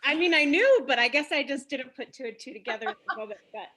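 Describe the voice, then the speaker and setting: A woman's voice: sits high, animated, fast talker, sharp tone, a little hiss or hum. A woman speaking casually as a cast member in a group conversation. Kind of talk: casual chat.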